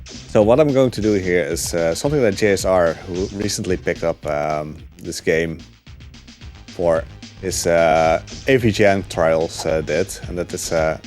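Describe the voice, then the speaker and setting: A man with a fairly low voice explains his speedrun as he plays. He talks in an easygoing, chatty way, with frequent "uh"s between thoughts. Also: a little slow, soft background music. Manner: relaxed, chatty, many fillers. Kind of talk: live gameplay commentary.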